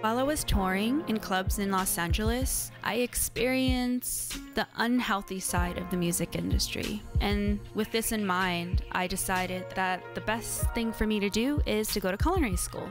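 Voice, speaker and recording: A young woman from Southern California narrates her own story in a calm, reflective documentary voice-over. Her pitch is ordinary for a woman, and music plays clearly underneath her. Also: normal speed, few pauses. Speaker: musician and chef.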